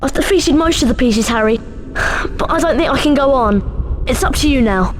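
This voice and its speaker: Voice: high voice, expressive, moderate pace, long reverb tail, slight background noise. An actor playing a brave young schoolboy wizard, spent but loyal, in a video game. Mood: weary, resigned. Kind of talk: video game cutscene acting.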